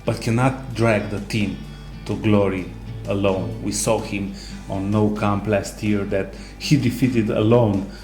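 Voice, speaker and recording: A man co-hosting a casual sports discussion, speaking haltingly with non-native English phrasing. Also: lowish voice, steady tone. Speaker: co-host. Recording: roomy echo, music underneath.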